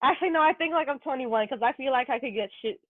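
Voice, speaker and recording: A woman's voice: high-pitched, fast talker, no room sound. A woman in her twenties in casual podcast chat, blunt and joking, swearing casually. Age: 20s. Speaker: podcast guest. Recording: tinny phone-like sound.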